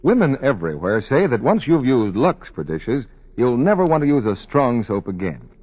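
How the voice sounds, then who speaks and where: Animated, husky 1940s male radio announcer. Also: medium pitch, moderate pace. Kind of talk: 1940s scripted announcing and advertising. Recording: dull 1940s radio recording.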